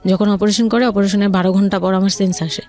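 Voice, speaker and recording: A woman in her thirties with a Bangladeshi accent, telling her personal story in conversation as an interview guest, haltingly and circling back. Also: medium pitch, fairly even intonation, a little mellow.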